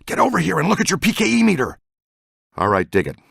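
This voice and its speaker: Middle-aged ghostbuster type for a game, eager team leader, swings all over, super dry sound. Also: male voice, fairly high pitch, huge pitch swings, quick pace, creaky, fairly bright tone, husky, dry recording, exaggerated voice. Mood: coaching, instructive. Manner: enthusiastic, slangy, folksy team-leader talk. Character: eager, excitable middle-aged male ghost hunter mentoring a rookie. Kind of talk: video game voice acting.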